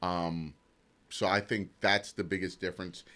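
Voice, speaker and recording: Middle aged guy on a podcast, lowish voice, just chatting. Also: flat delivery, unhurried, sharp tone. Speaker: podcast co-host. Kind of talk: casual chat.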